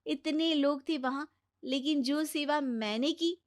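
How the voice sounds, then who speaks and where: Woman acting a gushing, high-pitched young daughter-in-law. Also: measured pace. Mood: boastful. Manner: gushing, exclaiming, theatrical. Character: eager-to-please young daughter-in-law, bubbly, oblivious, boastful. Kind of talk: Hindi moral-story cartoon acting.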